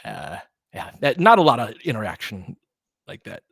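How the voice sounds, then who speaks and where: A man's voice: fairly low pitch, huge pitch swings, moderate pace, gravelly, fairly bright tone, husky, dry recording, clean studio sound. An American man hosting a basketball livestream, talking in an informal, slangy way as he mixes fan chat with play-by-play commentary.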